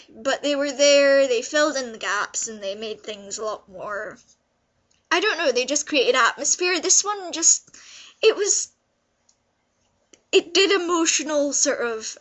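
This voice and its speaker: A woman's voice: high voice, fairly bright tone. A young woman giving a casual, unscripted video review in a rambling, gushing way, repeating words for emphasis. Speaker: vlogger reviewing visual novels.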